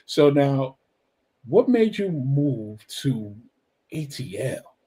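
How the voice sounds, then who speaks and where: A man from Brooklyn, New York hosts a radio interview in a relaxed, chatty way. He is friendly and excited and keeps circling back with "so now" before each question. His voice sits at an ordinary pitch. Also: drawn-out words, breathy edge. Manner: friendly, hyped, repeats "so now". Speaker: radio show host. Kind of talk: casual interview.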